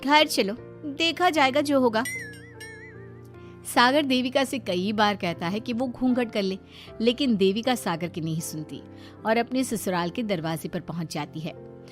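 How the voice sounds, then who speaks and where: A woman's voice: medium pitch, normal speed, soft background music. A woman giving scripted storytelling narration as the narrator of a moral tale.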